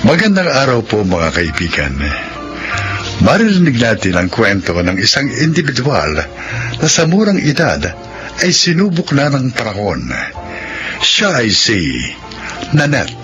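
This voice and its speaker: A man hosting a radio drama, reading scripted narration and credits in a measured, dramatic announcer style. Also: medium pitch, huge pitch swings. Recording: muffled radio broadcast under loud music.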